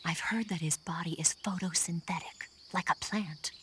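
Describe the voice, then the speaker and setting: An actress playing a female spy giving a soft, steady briefing over radio in a game. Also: on the low side, moderate pace, a little hiss or hum.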